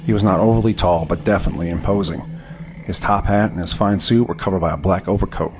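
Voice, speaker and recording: A man's voice: deep voice, fast, background noise, lightly acted. An actor playing a proud, indignant Southern crossbowman of the 1870s in an audio drama, with formal, theatrical speech. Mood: grave narrating. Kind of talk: audio drama with first-person narration.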